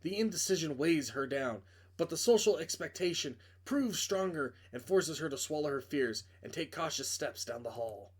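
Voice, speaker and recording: Male reader, slightly high register, dramatic narration of a visual novel, a little prone to stumbles. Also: expressive, normal speed, husky. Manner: dramatic storytelling delivery, stumbles over words.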